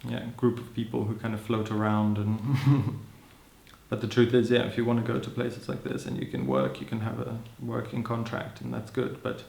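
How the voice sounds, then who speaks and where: A man in his thirties who grew up in Hong Kong, speaking as an interviewee in a thoughtful, musing way, often adding "you know" and soft laughs. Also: medium pitch, normal speed, gravelly. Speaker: interviewee, social anthropologist. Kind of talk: reflective personal account. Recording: roomy echo.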